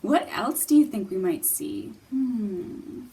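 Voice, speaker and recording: A woman's voice: a little high, expressive, slow pace, mellow. A woman presenting a children's storytime with singalong songs, encouraging and playful, explaining things simply for young children. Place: room in a public library. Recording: distant mic, background room noise.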